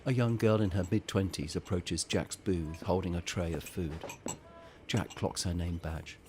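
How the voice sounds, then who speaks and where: Deep-voiced male audio drama narrator. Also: fairly even intonation, a little slow, mellow, a little hiss or hum.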